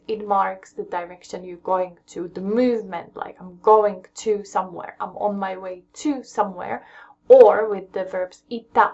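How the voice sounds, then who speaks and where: Young English woman, home language teacher, gravelly voice, dull webcam audio. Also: mid-range voice. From England. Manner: patient, explains step by step and checks in with "okay?". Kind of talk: casual explanatory lesson.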